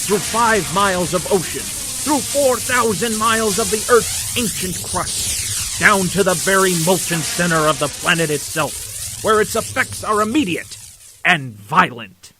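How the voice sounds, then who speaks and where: A man's voice: high-pitched, lively intonation, unhurried, over music, poor audio. A man playing a grandiose comic-book narrator, delivering portentous scripted narration for an audio drama. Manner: bombastic, portentous.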